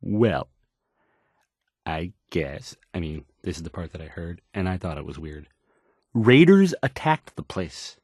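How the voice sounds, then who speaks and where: An actor playing an awkward, nervous young radio DJ in a video game, stammering and rambling through news reports. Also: male voice, sits low, big pitch swings, measured pace, darkish tone, breathy edge, dry recording, studio quality, full character voice. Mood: nervous, unsure. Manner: hesitant, stammering, rambling, self-doubting. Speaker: radio newsreader. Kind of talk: bumbling news announcing.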